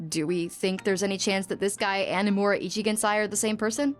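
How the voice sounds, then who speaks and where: A woman's voice: medium pitch, steady tone, fast talker, soft background music, close to an everyday voice. Forthright, short-fused young woman for a game, female actor, colloquial and brusque, mid-range voice. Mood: decisive. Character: blunt, hot-tempered young female bathhouse worker. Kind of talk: video game voice acting.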